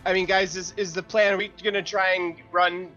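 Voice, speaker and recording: A man's voice: high voice, level tone, brisk, sharp tone, lo-fi sound, faint music behind. A man chatting casually as a player in a tabletop roleplaying game.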